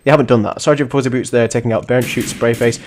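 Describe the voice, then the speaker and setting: Young male host, hoarse and sniffly with flu, casual rambling match commentary, faint gunfire under the voice. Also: medium pitch, steady tone, fast talker, background noise. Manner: rambling, self-correcting, chatty. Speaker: host and commentator of a bot league.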